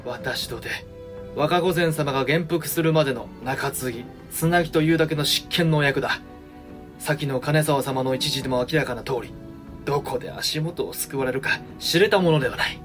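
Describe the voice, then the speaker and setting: A male actor playing a grave, wary samurai regent in a historical audio drama, with measured, formal period speech. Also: fairly high pitch, music in the background, natural voice.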